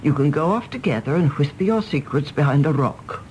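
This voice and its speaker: A woman's voice: low-pitched, lively intonation, a little mellow, slight background noise, low-quality recording. An older woman with an English accent playing a bossy, fussing mother of a grown son in a radio drama, imperious and chiding. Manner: imperious, chiding, brisk with endearments. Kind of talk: stage-play radio drama acting.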